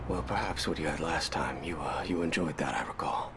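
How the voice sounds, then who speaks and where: Low, controlled, sinister wizard official. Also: male voice, a little fast, background noise. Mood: quiet, coaxing. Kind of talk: film dialogue acting.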